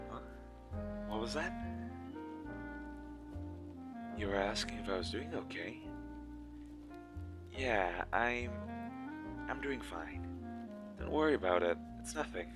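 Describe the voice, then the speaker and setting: Awkward, low-voiced smitten young guy played by a man. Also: lightly acted. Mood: flustered, playful. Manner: awkward, nervous, hesitant and stammering. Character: shy, smitten male game developer on a dinner date. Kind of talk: romantic audio roleplay, spoken to the listener.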